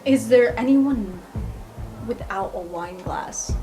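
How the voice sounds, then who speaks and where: A woman's voice: on the high side, slow pace, warm tone, soft background music. A woman playing a tabletop roleplaying game, describing her character's actions in a chatty, slightly hesitant way. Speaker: player. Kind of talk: casual tabletop play.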